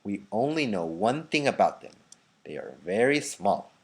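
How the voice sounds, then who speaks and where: A gentle old grandfather from a children's storybook, voiced by a man. He speaks in a hushed, deliberate way, with a mysterious air and pitch that moves freely as he unfolds the tale. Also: sing-song, slightly rough, full character voice. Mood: mysterious, knowing. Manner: soft, hushed, slow and deliberate.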